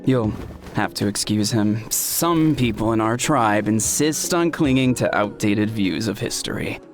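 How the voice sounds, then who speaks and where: A man's voice: fairly low pitch, a little slow, soft background music. Condescending male skeptic. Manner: condescending, sarcastic. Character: dismissive male skeptic, scornful of old legends. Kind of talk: video game voice acting.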